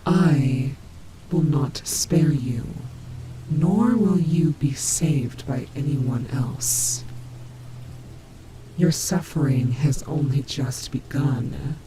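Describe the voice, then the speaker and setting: Nightmare animatronic rabbit, played by a woman: taunting, theatrical, very drawn-out delivery, audio roleplay, clearly noisy recording with rumble. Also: exaggerated voice. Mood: menacing. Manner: slow, mocking, theatrical drawl with whispered asides. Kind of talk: scripted audio roleplay acting.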